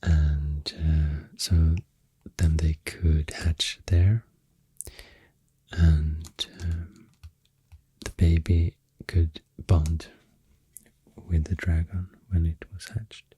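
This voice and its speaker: A man with a Swedish accent, rambling softly and hesitantly in soothing ASMR, breathy and often near a whisper, close to the mic. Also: a bass voice, little pitch movement, very slow. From Sweden. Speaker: ASMR creator. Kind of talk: soft-spoken ASMR rambling. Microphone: close microphone in a dry space.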